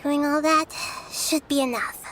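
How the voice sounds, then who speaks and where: A woman voicing a small, gentle, wise young girl deity in a video game, speaking in measured, thoughtful, formal phrases. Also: sits high, fairly even intonation, slight background noise, rough recording. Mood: thoughtful, serious. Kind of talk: scripted video game character dialogue.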